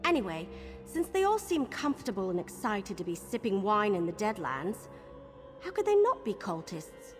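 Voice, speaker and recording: An actress playing a bold, suspicious woman adventurer in a video game, brisk and informal. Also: mid-range voice, very animated, close to an everyday voice. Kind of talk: scripted video game dialogue. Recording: long reverb, game music behind.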